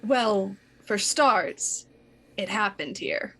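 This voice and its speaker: An actress playing a composed, sharp-witted female inspector in a 1920s radio mystery, speaking crisply and dryly. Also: mid-range voice, animated, drawn-out words, a little hiss or hum, heavily acted. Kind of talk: 1920s radio drama acting.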